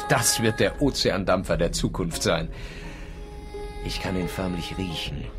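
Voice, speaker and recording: An actor playing a feverish, paranoid writer on an Edwardian sea voyage in a literary, brooding radio drama. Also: male voice, on the low side. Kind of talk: German radio drama, monologue and dialogue. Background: music.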